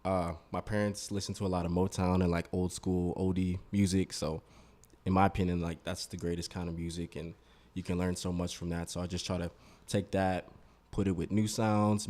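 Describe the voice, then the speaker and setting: Male producer guest, low flat delivery, deep voice, calm studio chat. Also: a little fast. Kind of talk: casual interview chat.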